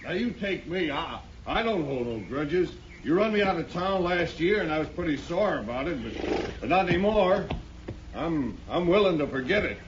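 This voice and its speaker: A man plays a shifty hired gun with a fairly high voice. He is halting, wheedling and defensive, in old radio western style. The recording is muffled, with faint hiss. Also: animated, natural voice. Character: shifty, defensive hired gunslinger feigning a truce. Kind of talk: 1950s radio western acting. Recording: dull, hissy 1950s radio recording.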